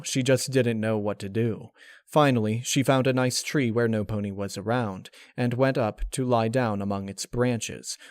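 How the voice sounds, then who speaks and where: Male narrator, medium pitch, scripted dramatic story reading, close dry sound. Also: no echo.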